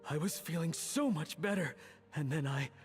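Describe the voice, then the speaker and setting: A man's voice: fairly high pitch, normal speed, faint music behind, natural voice. An actor playing a dejected, anxious father and cook in a video game, speaking in a downcast, hesitant way.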